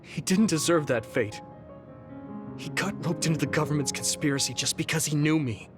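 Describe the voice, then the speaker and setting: A man's voice: on the high side, moderate pace, natural voice. A man voicing an earnest, sincere young male soldier in an anime game dub, reflective in delivery. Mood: grieving, guilty. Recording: music playing behind the voice. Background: game background music.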